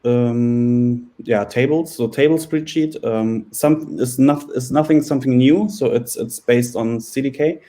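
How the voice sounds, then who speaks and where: Hesitant German-accented man, warm voice. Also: mid-range voice, a little slow, few pauses. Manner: hesitant, many fillers. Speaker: guest developer.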